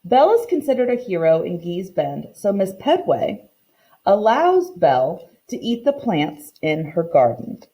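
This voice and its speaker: Mellow woman teacher reading aloud. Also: on the low side, very animated, unhurried. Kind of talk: read-aloud lesson for children, storybook narration.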